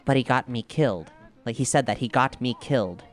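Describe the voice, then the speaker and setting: A man's voice: mid-range voice, fairly quick, lightly acted. Earnest male investigator of strange horrors played by an actor, shaken yet eager, audio drama, faint music underneath. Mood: shaken, haunted.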